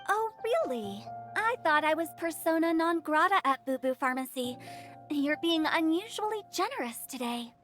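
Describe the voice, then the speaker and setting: A woman's voice: high voice, expressive, normal speed, fairly bright tone. A woman playing a sly, playful teenage funeral director in a video game, with theatrical, probing delivery. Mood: sly agreement. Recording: music behind the voice.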